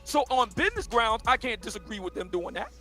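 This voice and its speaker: A man's voice: very high voice, very animated, fairly quick, bright, forceful tone. Boastful preachy man in a clip. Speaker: dating commentator. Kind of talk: preachy monologue in a played clip. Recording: clip with faint music.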